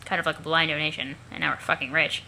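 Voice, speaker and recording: A woman's voice: sits low, fairly even intonation, fast talker, creaky, sharp tone, pitched below the performer's own voice, full character voice, poor audio. A woman playing a cocky, sweary teenage alien gamer with a casual, sardonic manner, in an amateur webcomic reading.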